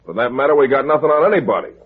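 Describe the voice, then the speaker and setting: A raspy mid-pitched police lieutenant, plainspoken and grumbling. It is old radio detective drama with a muffled, narrow lo-fi sound. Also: male voice, very animated, very fast. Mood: frustrated, businesslike. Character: gruff, exasperated male police lieutenant. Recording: muffled, lo-fi vintage radio recording.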